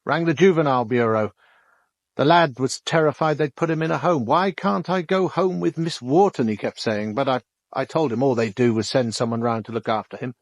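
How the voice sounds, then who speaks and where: An actor playing a down-to-earth, sympathetic police detective with a British accent, reporting in plain colloquial speech in a radio drama. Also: male voice, medium pitch, brisk, no echo, close to an everyday voice. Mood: frank, sympathetic.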